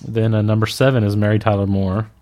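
A man's voice: fairly low pitch, steady tone, quick pace, dark tone. A young man co-hosting a podcast, informally reading out a countdown list and tossing in his own opinions. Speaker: podcast host.

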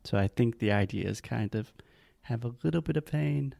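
A man's voice: medium pitch, lively intonation, moderate pace, creaky, mellow. A man co-hosting a casual chat podcast, patiently explaining English pronunciation. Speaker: podcast co-host, English teacher.

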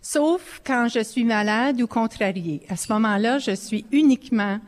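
Slow-spoken woman panelist. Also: on the high side. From northern Ontario. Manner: measured, deliberate, with hesitations. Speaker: panelist, senator. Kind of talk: panel discussion. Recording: reverberant hall, faint noise.

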